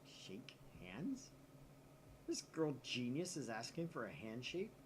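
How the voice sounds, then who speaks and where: A man's voice: medium pitch, takes it slowly, slight background noise. Man playing a melodramatic, paranoid young mad scientist. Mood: suspicious, melodramatic. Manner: theatrical, excitable, suspicious. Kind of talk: visual novel read aloud in character.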